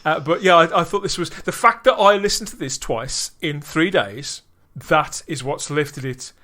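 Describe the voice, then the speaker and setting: A British man hosting a podcast, with a slightly higher voice than most men. He asks questions in a friendly, wry way and keeps the chat loose and casual. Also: normal speed, pushed and bright. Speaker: podcast host.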